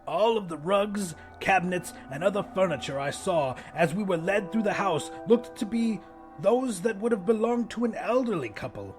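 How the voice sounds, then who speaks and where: A man's voice: high voice, lively intonation, moderate pace, over music. A man reading first-person fiction as a scripted audiobook narration.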